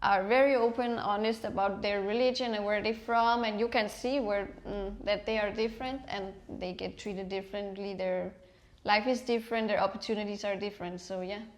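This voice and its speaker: A woman speaking English as a podcast guest, reflective and thoughtful, pausing to find her words. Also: medium pitch, fairly even intonation. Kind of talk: casual conversation.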